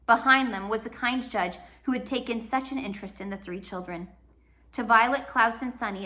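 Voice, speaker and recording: A woman reads a children's novel aloud in a bright, mid-pitched voice. Her storytelling is expressive and dramatic, moving between swells and hushed drops. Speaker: storybook reader.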